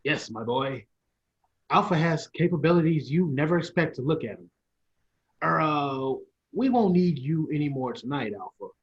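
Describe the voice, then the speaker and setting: A man's voice: a little high, expressive, normal speed. A man playing a proud older inventor professor in an old-style radio play, explaining theatrically and sometimes stumbling. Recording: dull lo-fi sound.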